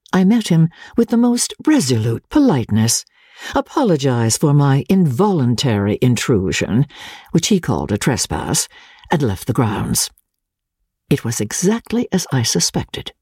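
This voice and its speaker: A woman reads classic fiction with a dramatic, storytelling delivery. Her voice is husky and moves widely in pitch while keeping formal, deliberate phrasing. The sound is dry, with almost no room around it. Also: mellow, no echo. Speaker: audiobook narrator. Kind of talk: dramatic literary narration.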